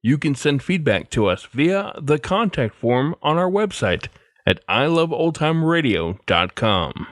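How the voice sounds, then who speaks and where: A man's voice: a little high, sing-song, a little slow, fairly bright tone, dry recording, studio quality. A man hosting a podcast, announcing from a script. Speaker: podcast host. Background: music.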